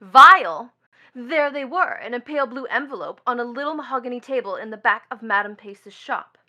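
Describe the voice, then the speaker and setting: A woman's voice: on the high side, lively intonation, normal speed, pushed and bright, dull audio. A woman playing a bitter, mocking young stepdaughter in a stage drama, with a taunting, theatrical delivery. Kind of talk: theatrical stage play acting.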